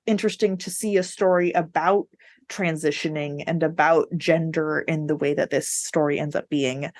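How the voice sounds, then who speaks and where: A woman co-hosting a podcast, casually discussing books in a candid, opinionated way with a readiness to laugh. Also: deep voice. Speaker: podcast co-host.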